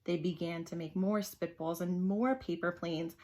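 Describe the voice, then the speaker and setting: Woman, husky edge, children's storybook reader, expressive, emphatic narration. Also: on the low side, normal speed.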